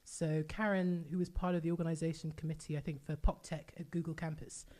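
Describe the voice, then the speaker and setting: Woman host, deep voice, brisk pace, enthusiastic and chatty. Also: little pitch movement, dark tone. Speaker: podcast host. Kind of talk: casual podcast conversation.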